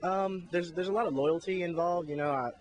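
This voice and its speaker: A man giving an informal, earnest, halting explanation as a festival squire, recorded outdoors. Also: high-pitched. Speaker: jousting squire and backstage crew member at a Renaissance festival. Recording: noisy outdoor location recording.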